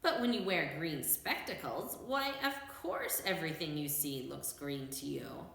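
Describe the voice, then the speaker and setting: A woman's voice: deep voice, lively intonation, a little slow, vocal fry, fairly bright tone, heavily acted. Woman reading as an apologetic old humbug wizard, echoey room. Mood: confessional. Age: older. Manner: meek, reasoning, confessional.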